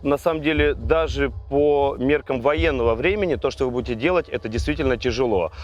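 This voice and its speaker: A man's voice: medium pitch, a little fast, muffled recording. A man hosting a reality show, presenting and briefing the contestants. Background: faint music.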